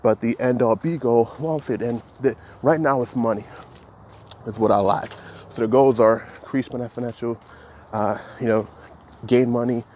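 Halting, flat-toned man in his thirties. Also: mid-range voice, a little hiss or hum. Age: 30s. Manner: halting, reflective, many restarts and fillers. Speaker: podcast host. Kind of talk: solo motivational podcast monologue.

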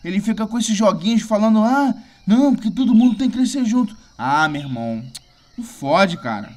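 A man plays an impatient, selfish young scout with a Brazilian accent in an audio drama. His speech is slangy, combative and full of swearing, in a fairly high male voice. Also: lively intonation, brisk, darkish tone. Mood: angry, scornful.

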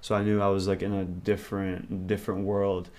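A man's voice: low-pitched, level tone, brisk, a little mellow. A man, talking as an interview guest in a rambling, reflective way. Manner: rambling and reflective, thinks aloud. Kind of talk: casual interview conversation.

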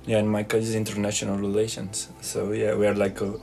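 A man's voice: fairly low pitch, monotone, brisk, gravelly, a little mellow, faint music behind. A young man from Portugal with a Portuguese accent, leading a casual group interview and hesitating often with fillers. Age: young adult. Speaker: student interviewer.

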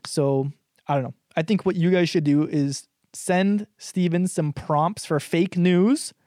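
A man's voice: fairly high pitch, measured pace, dark tone, dry recording, clean studio sound. A young man from Canada co-hosting a podcast, chatting casually, swearing often and laughing along. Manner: laid-back, swears a lot, laughs often. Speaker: podcast co-host. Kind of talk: casual chat.

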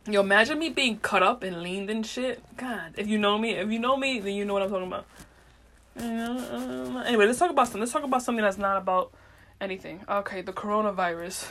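A woman hosts a solo podcast in a loose, casual way. She speaks in slang and swears freely. Her talk is unfiltered and wanders as she thinks out loud. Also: mid-range voice, fast. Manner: meandering, thinking aloud. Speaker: podcast host. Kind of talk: casual rambling monologue.

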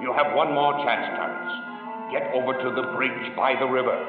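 An actor playing a stern but kindly senior angel in a radio drama, speaking with measured authority. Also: male voice, mid-range voice, steady tone, lightly acted. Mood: stern, reassuring. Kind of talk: mid-century American radio drama acting. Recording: distant, heavy echo, dull old radio, music.

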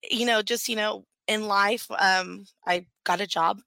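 A woman's voice: medium pitch, fairly even intonation, a little slow, vocal fry, pushed and bright, smooth, dry recording. A woman who works at a public library, chatting as an interview guest with frequent fillers. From Houston area, Texas. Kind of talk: casual interview answers.

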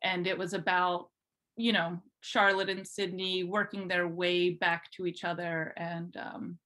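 Female podcast guest, informal and chatty, relaxed personal storytelling in everyday conversational language. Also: on the low side, a little slow. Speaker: podcast guest, fan fiction writer. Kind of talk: casual chat, telling personal story.

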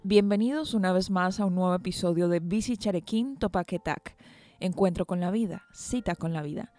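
Mellow, slightly low-voiced woman radio host. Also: moderate pace, soft background music. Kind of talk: interview with scripted introduction.

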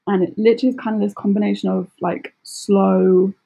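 A British woman speaking casually as an interview guest, chatty and expressive, often acting out little quotes. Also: medium pitch, fairly quick, creaky, husky, poor audio. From United Kingdom. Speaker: podcast guest, travel blogger.

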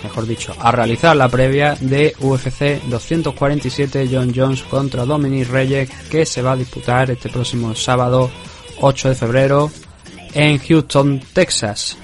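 Male podcast host, middle pitch, measured and explanatory sports commentary, conversational delivery. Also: steady tone, faint music behind. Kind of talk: MMA event preview commentary.

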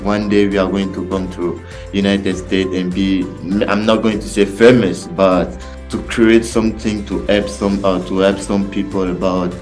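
A man's voice: low-pitched, flat delivery, normal speed, darkish tone, soft background music, rough recording. A teenage male student from Ivory Coast with an Ivorian accent, answering casually and hesitantly, searching for words. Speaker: student guest.

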